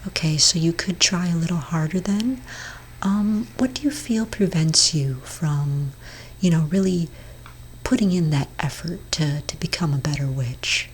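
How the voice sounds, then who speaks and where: Woman, low-pitched, breathy near-whisper; gentle therapist roleplay for ASMR, reassuring and hesitant, faint ambience behind. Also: a little slow, a little hiss or hum. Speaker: ASMR performer role-playing a therapist. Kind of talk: soft-spoken ASMR roleplay.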